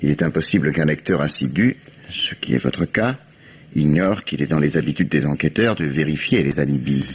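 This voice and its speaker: An actor playing a calm, shrewd police commissioner who questions a suspect in a measured, probing way, in the manner of a 1950s radio drama. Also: male voice, a bass voice, breathy edge. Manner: measured, probing, dry irony. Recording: dull old radio recording with faint hiss.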